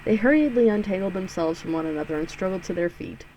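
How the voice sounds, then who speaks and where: A woman's voice: medium pitch, animated, quick pace, dark tone, slight background noise, low-quality recording. A woman hosting a let's play, reading a visual novel aloud with sarcastic, giggly asides and free swearing. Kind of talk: casual, joking read-through with asides.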